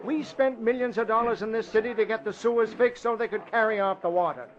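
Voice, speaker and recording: Casting: male actor as gruff wisecracking newsroom editor, very high pitch, muffled old-time radio recording. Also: quick pace, natural voice. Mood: sarcastic. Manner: barking, wisecracking. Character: gruff, sarcastic, impatient newspaper city editor. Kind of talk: 1940s radio acting. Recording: dull vintage radio recording.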